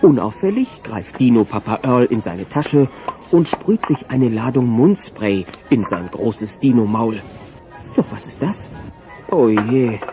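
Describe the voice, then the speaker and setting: A male narrator tells a children's audio story with playful drama and a lively, varied melody. Music plays clearly behind him and the recording sounds dull. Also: medium pitch.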